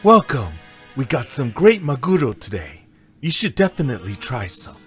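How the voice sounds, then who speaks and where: A man's voice: medium pitch, big pitch swings, normal speed, a bit bright. A man playing a frank, earnest sushi chef with a fighting past in an audio drama. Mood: welcoming. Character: candid male sushi chef, former fighter. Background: music.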